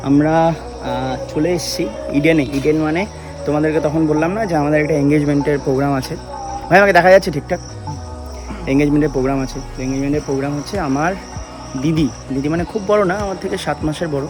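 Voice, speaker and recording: A casual vlogger with a West Bengal Bengali accent talks at a brisk pace and tends to repeat phrases. The pitch is middling, and music plays behind amid busy crowd chatter. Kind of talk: casual vlog narration.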